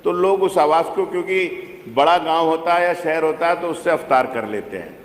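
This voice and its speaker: A man's voice: fairly high pitch, normal speed. A man giving an impromptu, deferential speech as a legislator making a request in an assembly debate. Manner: deferential, often addressing the chair as sir. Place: large legislative chamber. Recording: echoey assembly hall, faint noise.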